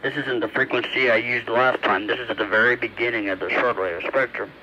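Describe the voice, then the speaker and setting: A man's voice: mid-range voice, steady tone, a little fast, bright, forceful tone, lo-fi sound. A man presenting a casual hands-on hobby video, rambling and thinking aloud as he works, recorded on a phone. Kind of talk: casual hands-on radio demonstration. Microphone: phone camera at varying distance. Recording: noisy phone recording with radio static. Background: shortwave radio noise and static.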